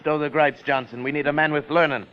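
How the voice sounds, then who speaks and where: A man's voice: fairly high pitch, fairly even intonation, fast talker, natural voice. A man acting a plain-spoken, assertive sailor in a 1950s radio drama. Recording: dull vintage radio recording.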